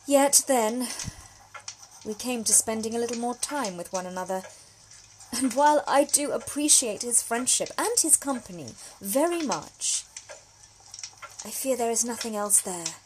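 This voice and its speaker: A woman's voice: a little high, lively intonation, measured pace, noisy background. A woman playing a genteel, frank young Victorian letter writer in an audio drama monologue, speaking with formal, precise diction. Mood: wry, confiding. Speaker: actor reading a solo audio drama. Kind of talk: period monologue, letters read aloud.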